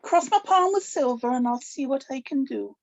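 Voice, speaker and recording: A woman's voice: high-pitched, expressive, normal speed, gravelly, dull audio. A woman playing a shrewd, theatrical witch who tells fortunes, in a scripted drama table read. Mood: mystical, commanding.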